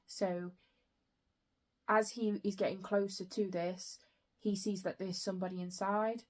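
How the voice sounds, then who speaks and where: A young woman chatting casually about her reading in a book vlog, her throat a bit croaky, with frequent soft fillers. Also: mid-range voice, little pitch movement, unhurried. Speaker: book vlogger.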